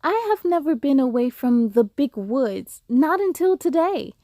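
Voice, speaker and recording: A woman voicing a shy, orphaned young male fawn from a storybook, speaking in a gentle, earnest manner. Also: sits high, animated, measured pace, dry recording, studio quality. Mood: solemn, lonely.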